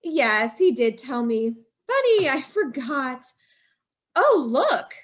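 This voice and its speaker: A woman's voice: sits high, big pitch swings, slow, full character voice. A woman playing a scheming, guilt-ridden young wife who confesses to murder in feverish, insistent old-time radio style. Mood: cold recollection. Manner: feverish, melodramatic, insistent repetition.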